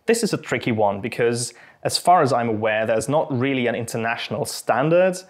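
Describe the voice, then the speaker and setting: A young Austrian man speaks English with a British-tinged accent over his native German, at a medium pitch. He answers viewer questions in a friendly, thoughtful way, pausing often with small ums. It sounds like a relaxed chat from a channel host. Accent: Austrian German mixed with British English. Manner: friendly, thoughtful, a little hesitant with frequent ums. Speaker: channel host and fencing instructor. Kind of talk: casual Q&A to camera.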